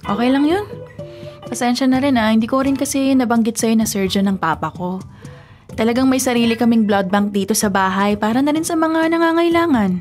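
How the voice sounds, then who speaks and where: A woman plays a sweet young woman in a radio drama. Her voice is high and coaxing, that of a dutiful daughter with a reassuring way. Music sits faintly behind her. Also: fairly quick, a little mellow, close to an everyday voice. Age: young adult. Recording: music bed under the voice.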